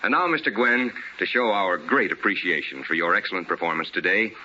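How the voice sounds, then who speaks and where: A male radio announcer with a low, very forceful voice. His delivery is polished, formal and salesmanlike, in 1950s style, with big swings of intonation. The vintage recording is dull and slightly noisy. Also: lively intonation, brisk.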